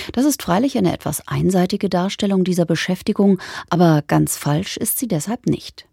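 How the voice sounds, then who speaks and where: A woman presenting on radio, reading a scripted introduction in a measured, factual way in a studio. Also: deep voice, creaky, warm tone, husky, no echo. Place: dry broadcast studio.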